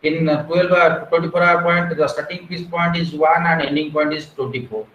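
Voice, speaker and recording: A man's voice: a little high, fairly even intonation, moderate pace, fairly bright tone, lo-fi sound. A man, giving an online lecture and explaining step by step with frequent "uh" and "okay". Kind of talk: online lecture on research methods. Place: small room at home. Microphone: wired earphone mic on a video call. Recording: echoey room with faint background noise.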